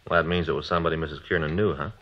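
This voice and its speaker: An American man playing a laconic, low-key police detective partner in a 1950s radio drama. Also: steady tone, rapid-fire. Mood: businesslike. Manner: laconic, casual questioning. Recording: dull vintage radio recording.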